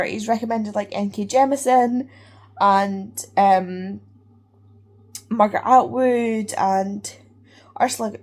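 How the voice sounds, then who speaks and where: Gushing woman book vlogger. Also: mid-range voice. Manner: excited, gushing, trails off to a whisper. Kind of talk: casual vlog chat.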